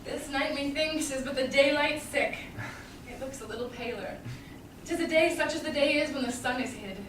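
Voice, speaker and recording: A woman's voice: sits high, moderate pace, close to an everyday voice. A woman playing a witty, self-possessed noble lady in a staged Shakespeare reading. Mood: composed, wry. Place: small theatre stage, far audience camera. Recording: echoey stage from far off, noisy.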